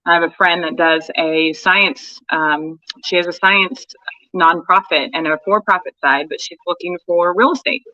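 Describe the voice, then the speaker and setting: A woman with a bright, forceful voice talks casually on a podcast, wandering through her thoughts with lots of "um" and "like." The sound is dry and a little dull. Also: fairly low pitch, moderate pace, no echo. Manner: rambling, lots of fillers and restarts. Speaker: homeschooling mom.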